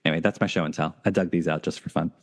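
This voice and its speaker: A man's voice: low voice, steady tone, very fast. A man hosting a podcast, chatting casually. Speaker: podcast host. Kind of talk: casual chat.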